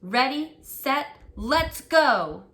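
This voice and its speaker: A woman voicing a small, plucky male super pig from a children's storybook, exclaiming excitably. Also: high-pitched, very slow, pitched above the performer's own voice, heavily acted. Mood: defiant.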